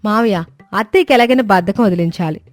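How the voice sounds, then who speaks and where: A woman's voice: mid-range voice, fast, dry recording, soft background music, lightly acted. Woman playing a clever, cheeky young daughter-in-law. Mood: coaxing. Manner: respectful but cheeky.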